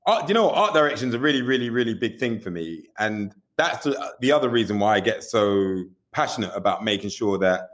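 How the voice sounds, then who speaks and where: A man's voice: on the low side, very animated, fairly quick, hardly any pauses, a bit bright. A man being interviewed about directing music videos, talking candidly and animatedly, often asking "you know what I mean" and swearing casually.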